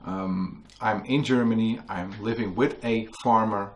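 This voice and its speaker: A man from the Netherlands with a Dutch accent, telling a story to an audience at a book talk and often pausing to search for English words. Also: fairly low pitch, steady tone. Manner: hesitant, searching for English words, many fillers. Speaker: author giving a book talk. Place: museum hall with a live audience.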